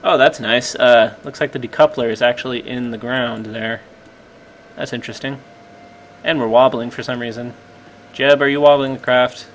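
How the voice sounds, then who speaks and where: Man, mid-pitched, slightly croaky, rambling self-deprecating game commentary, faint fan hum. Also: fairly even intonation, normal speed. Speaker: gameplay commentator. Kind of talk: casual gameplay commentary.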